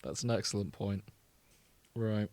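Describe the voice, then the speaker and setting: A man's voice: lowish voice, a little slow, a little mellow, no echo. A man hosting a podcast in casual banter, chatty, teasing and wry, sometimes reading fan fiction aloud. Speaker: podcast host.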